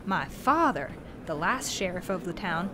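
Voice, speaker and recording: A woman's voice: lowish voice, big pitch swings, a little slow, noisy background. A woman playing a genial, long-winded, folksy male cowboy posse leader in a western audio drama. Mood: nostalgic, rambling. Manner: rambling, folksy, theatrical storytelling.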